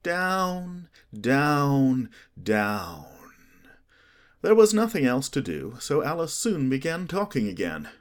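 Man, audiobook narrator, expressive, lively pitch, middle register. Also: takes it slowly. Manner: expressive, animated storytelling.

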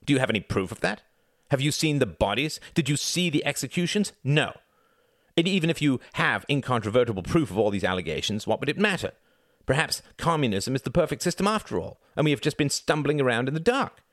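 Man voicing a haughty, animated young intellectual. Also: medium pitch, fast talker, no room sound, clean studio sound, voice pushed up. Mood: heated, haughty. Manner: emphatic, rhetorical, condescending, lecturing. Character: argumentative young son, haughty intellectual defending communism. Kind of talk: dramatised audiobook reading.

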